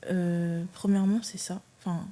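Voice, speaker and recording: A woman's voice: lowish voice, little pitch movement, moderate pace, mellow. A woman in her early twenties from France, answering casually and a little hesitantly as an interview guest. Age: early 20s. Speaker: podcast guest, judo athlete.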